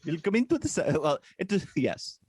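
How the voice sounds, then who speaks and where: A man's voice: sits high, sing-song, a little fast, darkish tone, slightly rough, dry recording. A man co-hosting a podcast, chatting casually in a rambling, hesitant way with lots of fillers and jokes. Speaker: podcast co-host. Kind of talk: casual chat. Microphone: podcast microphone.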